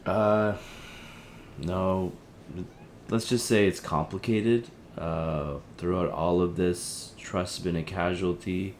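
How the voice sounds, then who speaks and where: A man playing an apologetic, evasive helpline listener in an audio drama, speaking in a hesitant, halting, conciliatory way. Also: low voice, steady tone, slight background noise. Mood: weary, guarded. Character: Theo, apologetic, evasive male helpline listener with a troubled marriage. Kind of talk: audio drama, naturalistic phone conversation.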